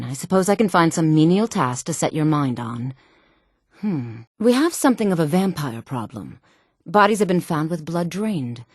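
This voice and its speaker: A self-assured fantasy game woman with a low, smooth, sultry voice. She speaks with poise and a teasing, condescending air, flirtatious and sure of her own worth. The recording is dry, with no room sound. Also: darkish tone, dry recording. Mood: haughty. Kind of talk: fantasy video game character dialogue.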